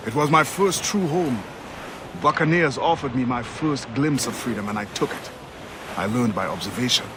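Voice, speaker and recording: A man's voice: mid-range voice, expressive, lightly acted. Man playing a proud sea captain, noisy surroundings. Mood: reflective, firm. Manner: formal, measured. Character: proud, seasoned freed-slave sea captain, guarded and principled. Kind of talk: video game dramatic acting. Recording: noisy ambience behind game dialogue.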